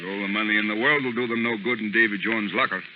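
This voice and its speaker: A man playing a gruff, commanding fishing boat captain with salty nautical talk, in an old radio drama style. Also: lowish voice, fairly even intonation, quick pace, close to an everyday voice. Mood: grim, resigned. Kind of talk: 1940s radio acting. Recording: dull, noisy old radio recording.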